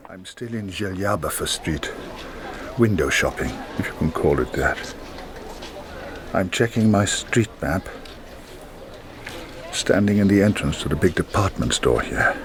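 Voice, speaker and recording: Wry, low-voiced English publisher-spy, dry asides, hissy radio recording. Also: male voice, lively intonation, measured pace, pauses often. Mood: muttering.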